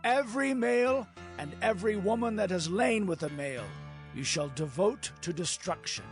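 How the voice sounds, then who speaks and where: A man's voice: high-pitched, expressive, moderate pace. A man playing a stern, merciless leader of ancient Israel, declaiming commands in a dramatized scripture production. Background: background music.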